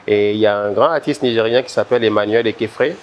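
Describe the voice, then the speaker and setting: A man's voice: on the low side, quick pace. A man with a Beninese French accent answering interview questions conversationally, repeating words as he thinks. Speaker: interview guest, visual artist. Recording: noisy ambient background.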